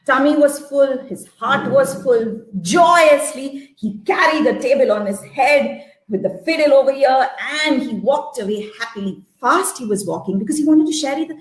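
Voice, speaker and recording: A woman's voice: high voice, lively intonation, normal speed. A woman telling a folktale live in a theatrical way, repeating phrases for effect and checking in with her listeners. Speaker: storyteller. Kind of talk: live interactive folktale storytelling with songs and actions. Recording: roomy, echoey live-stream sound.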